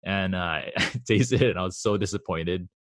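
A man's voice: deep voice, moderate pace. A man in his thirties hosting a podcast, talking in an eager, chatty way. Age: 30s. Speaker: podcast host. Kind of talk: casual podcast interview.

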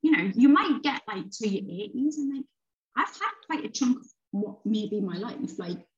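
A woman's voice: on the high side, lively intonation, normal speed, lo-fi sound. A woman in her late thirties, a podcast guest, chatting casually and rambling through her thoughts with frequent restarts. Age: 30s. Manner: rambling, restarts often, laughs while talking. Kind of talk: casual conversation.

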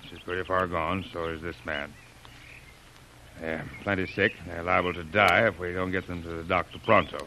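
An actor playing a gruff, practical expedition boss with plain-spoken, folksy phrasing, in 1930s radio adventure style. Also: male voice, deep voice, fairly even intonation, moderate pace, bright, forceful tone. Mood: firm, pressing. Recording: noisy old radio recording.

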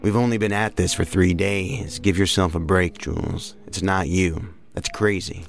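An actor playing a level-headed, plain-spoken federal agent, direct and matter-of-fact, in an audio drama. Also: male voice, sits low, steady tone, moderate pace, creaky. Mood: firm, reassuring.

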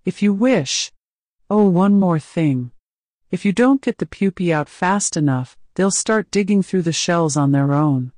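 Woman doing big alien slave master, fake friendly, slow and mean, dry close audio. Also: fairly low pitch, lively intonation, darkish tone, no echo. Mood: menacing. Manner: mocking, coolly menacing, deliberate. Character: genial cruel male alien slave keeper, massive. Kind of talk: audiobook character voice.

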